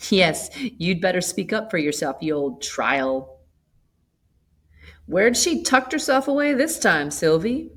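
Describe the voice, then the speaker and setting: A woman's voice: lowish voice, very animated, takes it slowly, full character voice. A woman voicing a chatty, hospitable old country grandmother in a storybook, with a folksy, gossipy manner. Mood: scolding. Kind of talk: storybook character voice.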